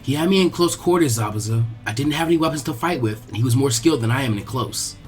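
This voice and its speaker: A man's voice: medium pitch, animated, quick pace, a little mellow, soft background music, natural voice. A man voicing an earnest young female ninja in a story reading, speaking politely and deferentially. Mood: dutiful, deferential.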